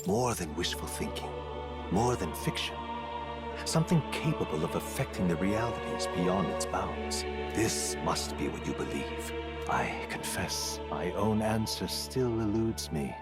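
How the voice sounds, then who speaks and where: An actor voicing an enigmatic, courteous guide in a fantasy video game, measured, eloquent and philosophical. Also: male voice, low-pitched, animated. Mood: contemplative, resolved. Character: enigmatic, courteous, thoughtful male guide to another world. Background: loud music.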